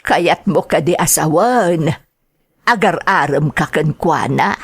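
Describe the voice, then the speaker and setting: A woman playing a cautious mother of a grown daughter, questioning in a measured way with an Ilocano accent in a radio drama. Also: sits low, lively intonation, slightly rough, no echo, clean studio sound, exaggerated voice. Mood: wary, probing. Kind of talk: Filipino radio drama acting.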